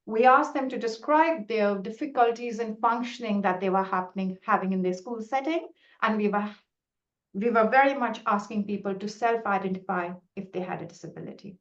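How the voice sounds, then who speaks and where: A woman's voice: medium pitch, brisk. A middle-aged woman whose first language is Hindi, a researcher answering questions in a webinar in an earnest, explanatory way with frequent restarts. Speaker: researcher presenting findings. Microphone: video call. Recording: roomy, muffled video call audio.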